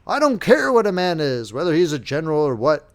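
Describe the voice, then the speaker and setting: Male reader as blunt lieutenant, firm, louder and higher. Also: sing-song, a little fast. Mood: defiant. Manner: firm, undaunted.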